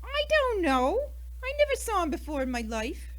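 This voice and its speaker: A feisty, huffy American woman under suspicion , played by an actress, in a radio mystery. Her voice is high and sharp, swinging widely in pitch as she snaps back defensively. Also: sing-song, moderate pace, exaggerated voice. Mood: defensive, protesting. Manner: brash, snappy, huffy. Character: feisty, defensive, indignant single American woman, murder suspect. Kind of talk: old-time radio mystery acting.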